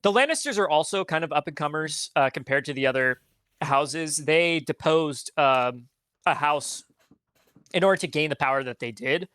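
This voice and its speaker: A man's voice: a little high, moderate pace, a bit bright, clean tone, no echo, studio quality. A man co-hosting a podcast, chiming in casually and briefly. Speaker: podcast co-host. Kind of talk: casual history chat.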